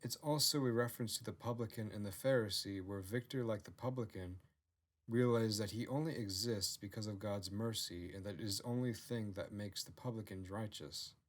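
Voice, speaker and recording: A man with a lowish voice delivers scripted narration. He reads as an author presenting his own written work. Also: fairly quick.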